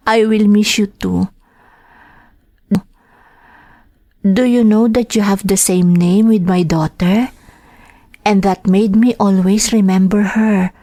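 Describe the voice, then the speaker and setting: A woman playing a gentle, homesick mother working abroad, acting in a sentimental radio drama with a Filipino accent, tender and emotional. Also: medium pitch, steady tone, slow, no room sound, clean studio sound. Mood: tender farewell.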